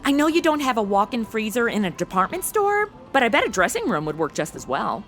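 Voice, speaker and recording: A young woman playing a snarky, excitable modern gal in a radio comedy, gushing and sassy. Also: a little high, brisk, faint music behind. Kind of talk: comic narration and banter in modern slang.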